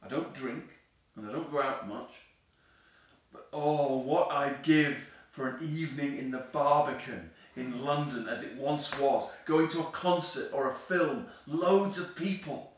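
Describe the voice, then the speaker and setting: An actor playing a lonely, disappointed council member in an audio play, confiding and longing for city life. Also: male voice, mid-range voice, measured pace, natural voice. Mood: wistful longing. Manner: wistful, discontented, confiding. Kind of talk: audio play acting, reflective dialogue. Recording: very echoey room, slightly distant mic.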